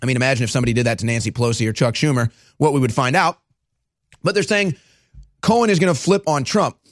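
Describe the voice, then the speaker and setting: Hoarse, raspy male talk show host. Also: mid-range voice, fast, no room sound, clean studio sound. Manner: forceful, salesy. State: hoarse from shouting. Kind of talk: political talk show and product pitch.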